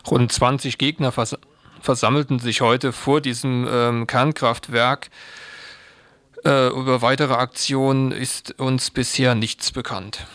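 A male news presenter reads from a script in a fairly monotone voice. The sound is dry and close, and his delivery catches slightly on words now and then. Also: mid-range voice, measured pace, no room sound.

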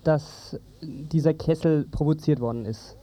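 Guy doing radio interview questions, fairly high voice, really soft mellow tone. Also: measured pace.

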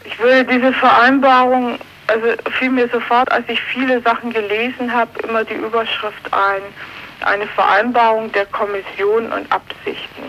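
A woman's voice: mid-range voice, a bit bright, low-quality recording. Woman politician interviewed, faint background noise. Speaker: politician, member of parliament being interviewed. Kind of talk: spontaneous political interview answers.

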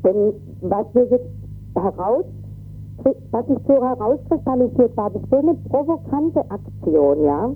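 A woman's voice: a little high, lively intonation, measured pace, soft background music. Shaken woman on a tinny phone line. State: shaken, in shock. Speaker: eyewitness interviewed by phone. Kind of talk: spontaneous eyewitness account. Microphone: telephone.

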